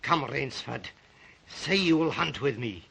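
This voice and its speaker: An actor playing a suave, psychotic aristocratic hunter of men, courteous and menacing in an old 1930s melodrama style. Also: male voice, medium pitch, animated, unhurried, vocal fry, pushed and bright, husky, exaggerated voice. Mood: coldly menacing. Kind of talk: 1930s melodrama film acting.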